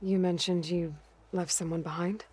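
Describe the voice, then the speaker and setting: A woman's voice: lowish voice, steady tone, moderate pace, a little mellow, slightly rough. An actress playing a capable young female adventurer in a video game, speaking in a direct, understated, questioning way. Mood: curious. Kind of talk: video game cutscene acting. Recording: music behind the dialogue.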